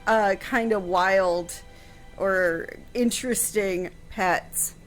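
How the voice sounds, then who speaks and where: A woman's voice: medium pitch, very slow, soft background music, poor audio. A woman chatting casually on a radio show, answering a history question with lots of fillers and restarts.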